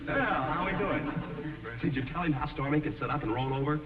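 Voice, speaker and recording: An actor playing a dry, sarcastic young husband in a 1950s television sitcom. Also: male voice, fairly high pitch, a little fast, close to an everyday voice. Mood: dry, teasing. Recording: echoey, tinny, distant old television soundtrack.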